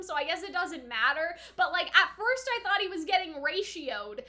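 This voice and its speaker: A young woman with a notably high, bright voice talks through her reactions as a reviewer. She is chatty and slangy, rambling casually and rewording her thoughts with a giggly manner.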